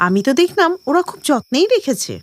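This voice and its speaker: A young newlywed wife played by a woman in a cartoon family tale. Her voice is high and very animated, with a polite, earnest, questioning manner. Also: fast, dry recording. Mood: astonished.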